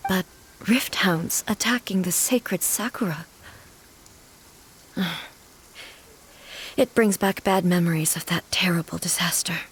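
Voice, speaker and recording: A woman voicing a regal, solemn female goddess ruler in a video game, speaking in a formal, measured, grave way. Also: on the low side, slight background noise. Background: faint game music.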